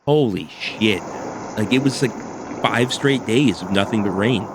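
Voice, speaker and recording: A man's voice: on the low side, measured pace, background noise. A man in his forties co-hosting a podcast from home, teasing his partner in a wry, loose way and swearing freely. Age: 40s. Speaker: podcast co-host. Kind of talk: casual chat. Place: home over an internet call.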